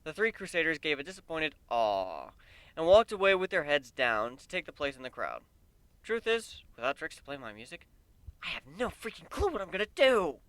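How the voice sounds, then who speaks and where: A man reading a story aloud in the first person as an amateur dramatic narrator, expressive and wry. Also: a little high, a little fast, dry recording.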